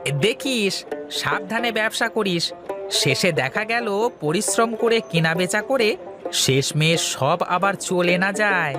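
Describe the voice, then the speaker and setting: A man acts out a nosy, insinuating village busybody in a cartoon. His delivery is lively and swinging, full of drawn-out interjections and teasing caution. Music plays clearly behind the voice. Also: sits high. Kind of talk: cartoon dialogue acting.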